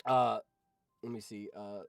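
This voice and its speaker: A man's voice: lowish voice, little pitch movement, a little slow, gravelly, no echo, faint music behind. A young man hosting a casual livestream, talking in a rambling way that often trails off, close to the mic. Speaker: streamer and host.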